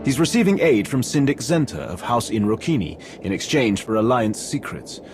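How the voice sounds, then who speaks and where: A calculating aristocratic envoy from a space game. His delivery is formal and diplomatic with animated pitch movement, set over prominent music. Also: normal speed. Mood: smooth. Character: courteous, calculating male alien aristocrat and military envoy. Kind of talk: cinematic video game dialogue.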